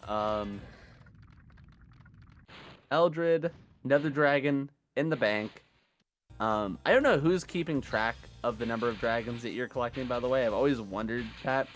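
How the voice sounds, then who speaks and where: A man commentating a live gaming marathon in a casual, chatty, self-deprecating way, his voice hoarse from days of yelling. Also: medium pitch, unhurried, pauses often, faint music behind.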